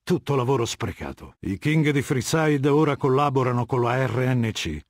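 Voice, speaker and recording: Guy doing a ruthless warlord boss from a video game, husky mid-pitched voice, measured and threatening, very dry sound. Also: animated, no echo, natural voice. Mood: stern reproach. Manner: imperious, measured, menacing. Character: commanding, ruthless middle-aged male warlord dictator. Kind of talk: dubbed video game dialogue.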